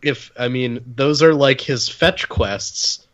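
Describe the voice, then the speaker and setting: A man's voice: mid-range voice, slow, fairly bright tone, lo-fi sound. A man chatting casually on a comedy podcast in a hesitant, mock-earnest way. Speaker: podcast panelist. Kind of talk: casual comic podcast chat.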